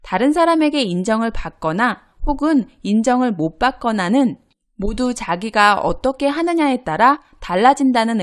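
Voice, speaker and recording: A woman teaching a grammar lesson, explaining example dialogues clearly and patiently. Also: medium pitch. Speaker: Korean language teacher.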